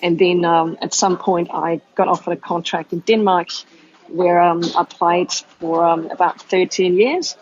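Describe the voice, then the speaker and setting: A middle-aged woman from Austria with a mixed, hard-to-place accent, answering interview questions in a steady, casual way with many ums. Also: fairly low pitch, measured pace, highs cut off, slight background noise. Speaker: guest, agile coach.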